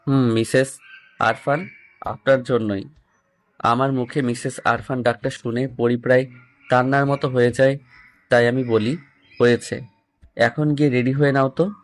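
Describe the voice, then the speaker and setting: A man's voice: mid-range voice, steady tone, normal speed, long pauses. A young man reading a scripted first-person love story and acting out the lead's dialogue. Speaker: story narrator voicing the male lead.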